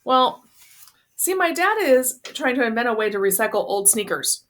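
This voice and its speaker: A woman's voice: fairly high pitch, very animated, normal speed, a bit bright, voice pushed up. A woman voicing an awkward, earnest teenage boy who explains things hesitantly in a story reading. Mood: awkward, explaining.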